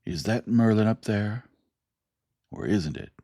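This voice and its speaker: A man's voice: on the low side, a little slow, mellow, no echo, clean studio sound, pitched above the performer's own voice. A man voicing a blustering official who bellows to silence a room. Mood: pointed. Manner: bellowing commands.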